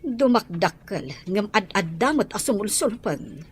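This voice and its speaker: A woman's voice: medium pitch, sing-song, unhurried, a little hiss or hum. A woman playing a worried, fretting relative in an audio drama, with a Filipino accent. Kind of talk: Ilocano audio drama acting.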